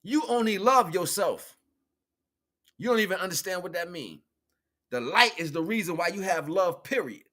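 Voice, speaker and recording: A man's voice: high voice, expressive, normal speed, sharp tone. An American man giving an improvised, slangy spiritual talk, repeating phrases for emphasis and acting out bits of dialogue. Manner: slangy, conversational, acts out imagined dialogue. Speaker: spiritual teacher and livestream host. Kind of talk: improvised spiritual talk, casual street preaching.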